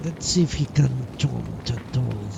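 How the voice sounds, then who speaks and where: Slow-paced, gravelly man streaming a game. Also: mid-range voice, dull audio, noisy background. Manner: musing, muttering to himself in short fragments. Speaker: live streamer playing a role-playing game. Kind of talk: casual live gameplay commentary, thinking aloud.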